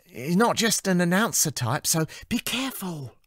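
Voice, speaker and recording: A man's voice: high-pitched, very animated, moderate pace, a bit bright, slightly rough, no room sound, clean studio sound, pitched above the performer's own voice, full character voice. A man voicing a cheerful, gossipy young female office assistant in an audiobook, chirpy, breathless and earnest.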